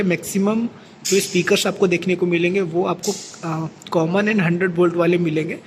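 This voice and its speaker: A man with an Indian accent giving a hands-on, step-by-step equipment tutorial as a technician, often adding a short check-in tag. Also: high voice. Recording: clearly noisy amateur recording with ambient sound.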